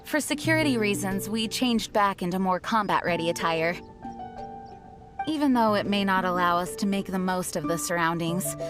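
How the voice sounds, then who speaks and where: A woman playing a dutiful young knight commander in a video game, with a formal, polite and measured manner. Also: medium pitch, lively intonation, natural voice. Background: background music.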